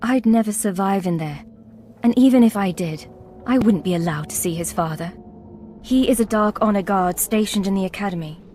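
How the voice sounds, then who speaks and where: An actress voicing a timid, earnest young woman in a video game, speaking hesitantly, with halting restarts. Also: medium pitch, mellow, slight background noise, close to an everyday voice.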